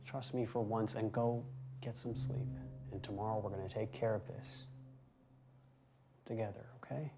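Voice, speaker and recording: A man's voice: fairly even intonation, moderate pace, gravelly, long pauses, background noise. A man playing a weary, pleading husband. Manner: soft, low and pleading. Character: weary worried husband comforting his wife. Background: music score.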